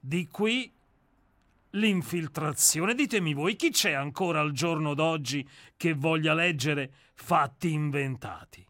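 A man's voice: sits high, sharp tone, no room sound, exaggerated voice. A man playing a sardonic murderer-novelist. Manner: lecturing, sardonic, self-assured. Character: famous male novelist, self-declared murderer, scornful. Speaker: character in a radio play reading. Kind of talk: radio drama reading.

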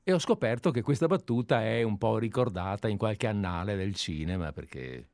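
A man's voice: fairly low pitch, animated, normal speed, gravelly, a little mellow, dry recording. A man hosting a live radio show in a studio, chatting and wandering into anecdotes. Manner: chatty, digressive storyteller. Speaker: radio host.

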